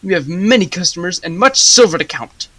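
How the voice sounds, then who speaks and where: A teenage actress playing a proud, stingy male baker in a high school radio play, with an emphatic, scolding delivery. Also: lively intonation, fairly quick, background noise, natural voice. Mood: irritated, bossy.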